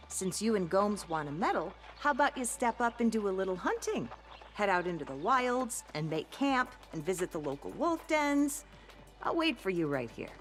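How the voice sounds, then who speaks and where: A woman acting a brusque, lively lone-wolf survivalist. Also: mid-range voice, natural voice. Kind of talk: video game character acting.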